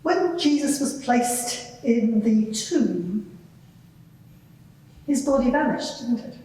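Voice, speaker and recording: A woman's voice: fairly high pitch, lively intonation, slow, mellow. A woman playing a composed, probing lawyer who questions a witness formally and insistently in a live courtroom drama. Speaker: examining lawyer in a dramatised inquiry. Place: large reverberant courtroom, live event. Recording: very echoey hall, distant microphone.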